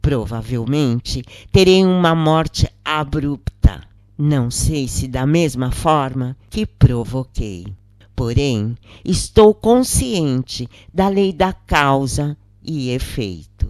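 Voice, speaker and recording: A narrator delivers a scripted first-person account at a slow, measured pace. The reading is solemn, with deliberate dramatic pauses. The sound is close and dry, free of room echo. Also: medium pitch, animated, a little mellow.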